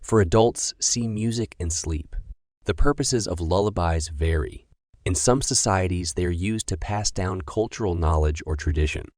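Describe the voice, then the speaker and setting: Man, low-pitched voice, scripted read-aloud, close dry sound. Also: normal speed, a little mellow, no echo. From India. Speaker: language test practice reader.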